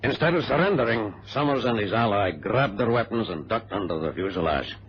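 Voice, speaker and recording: A man's voice: lowish voice, expressive, brisk, natural voice. A man playing a cautious, conscientious adventurer in an old radio drama, in a dramatic style. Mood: grave narrating. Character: cautious, conscientious male adventurer recounting his exploit. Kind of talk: dramatic radio narration and dialogue. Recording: muffled vintage radio recording, faint noise.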